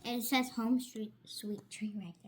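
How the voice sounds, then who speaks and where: High-voiced woman reading along haltingly. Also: steady tone, breathy edge. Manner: halting word-by-word reading, playful reactions. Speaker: co-storyteller. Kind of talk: informal read-along with interjections.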